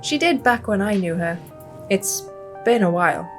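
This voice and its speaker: A woman's voice: mid-range voice, animated, normal speed, soft background music, close to an everyday voice. A woman voicing a friendly, folksy, chatty young small-town woman in a visual novel game. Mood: concerned.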